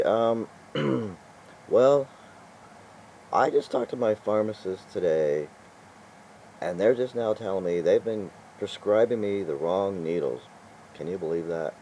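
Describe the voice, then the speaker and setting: A man's voice: low voice, warm tone, slight background noise, low-quality recording. An older man talking casually in a vlog, rambling and exasperated, with chuckles and restarts along the way. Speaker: vlogger.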